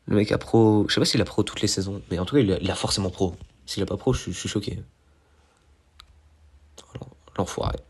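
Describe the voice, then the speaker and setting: A young man with a cold, nasal and stuffy, giving excitable, slangy commentary over his own gameplay and poking fun at his mistakes. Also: fairly low pitch, breathy edge. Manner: excitable, self-mocking, slangy. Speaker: gaming YouTuber.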